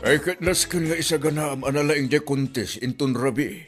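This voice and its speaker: A man's voice: on the high side, fairly even intonation, moderate pace, over music, close to an everyday voice. A man acting an easygoing, sleepy father in a comedy drama, rambling and fatherly. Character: drowsy, easygoing old-fashioned father.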